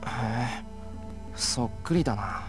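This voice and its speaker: A man playing a subdued, stubborn young male hero in an anime visual novel, speaking in a low-key, halting way. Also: animated, faint music behind, lightly acted. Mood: mildly curious.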